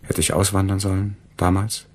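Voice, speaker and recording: An actor playing a loyal, reassuring family friend in a German radio crime drama. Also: male voice, low voice, fairly even intonation, moderate pace, gravelly, a little mellow, breathy edge, exaggerated voice. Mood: wry.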